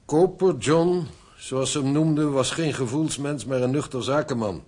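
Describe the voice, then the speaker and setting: A man acting in a radio drama as a shrewd, sober older father-in-law, husky, with a measured, knowing and kindly manner. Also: medium pitch, a little mellow, close to an everyday voice. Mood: dry, emphatic.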